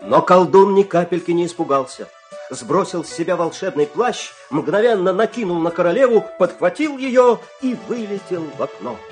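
A man narrating a fairy tale dramatically, expressive, with measured pauses. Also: fairly high pitch.

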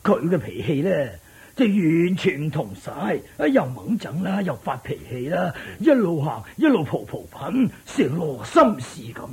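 Very husky, creaky old servant. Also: male voice, fairly high pitch, very animated, a little slow, a little mellow, full character voice. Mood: troubled, confiding. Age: older. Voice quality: raspy, creaky. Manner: stammering, sighing, fussing. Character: anxious, deferential old male family servant. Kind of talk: radio drama acting.

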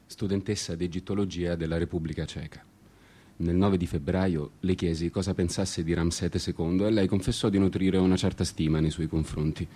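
A man narrating a scripted first-person story in an ironic, disenchanted, deliberately repetitive way. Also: low voice, dark tone. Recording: prominent music under the voice. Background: plucked guitar music.

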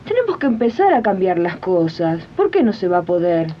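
A woman's voice: big pitch swings, fairly quick, a little hiss or hum. A woman playing a spirited, supportive domestic worker in a 1980s community radio drama, chatty and emphatic, with an Argentine accent. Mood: encouraging, firm. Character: spirited working-class domestic worker, supportive union friend. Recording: muffled, rumbly 1980s archive radio recording.

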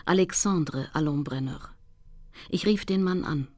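A woman's voice: low-pitched, unhurried, mellow, no echo. An actress playing a determined Nazi hunter in a radio play, telling her memoir in a composed, precise and sober way. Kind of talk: scripted radio documentary narration.

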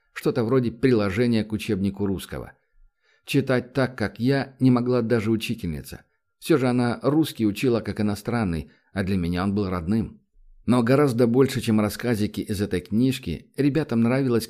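A man's voice: fairly low pitch, mellow. A man reading a first-person novel aloud as an audiobook narrator, with a wry, confiding storytelling manner.

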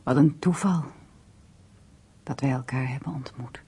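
An actress playing a soft, admiring female companion in a radio drama, tender and slightly unsure, with a breathy, husky voice. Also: a bass voice, measured pace, full character voice. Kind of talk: intimate radio drama acting.

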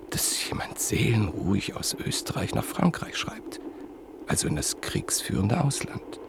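A man narrating a literary radio drama in a measured storytelling manner. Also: on the low side, vocal fry, a little mellow, slight background noise. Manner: measured storytelling, reporting speech indirectly.

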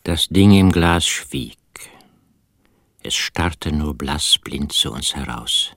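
An actor playing a brooding, uneasy country husband who narrates a radio drama in a husky, hushed, intimate voice. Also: male voice, extremely low pitch, animated, slow, dry recording, studio quality, full character voice. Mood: brooding, ominous. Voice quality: husky, breathy, half-whispered. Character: brooding first-person male narrator, uneasy rural husband.

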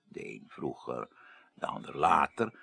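A creaky, deliberate presiding investigator. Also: male voice, rumbling low, sing-song, pushed and bright, no room sound, full character voice. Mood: deliberate, analytical. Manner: formal, deliberate, reasoning aloud. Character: deliberate, authoritative male president of an inquiry. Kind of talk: Dutch radio play acting. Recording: faintly noisy 1980s radio drama.

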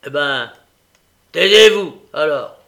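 A sly old storybook villain, acted out by a male reader, pitched high and swooping. He sounds mocking and wheedling, with menace under every phrase. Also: expressive, slow, gravelly, fairly bright tone, full character voice. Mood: menacing.

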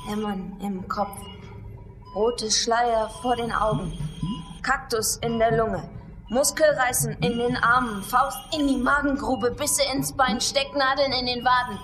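An actress playing a blunt young competitive swimmer, answering tersely and deadpan in a German radio drama. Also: female voice, on the high side, unhurried, close to an everyday voice, rough recording. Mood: flatly listing. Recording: music behind the voice.

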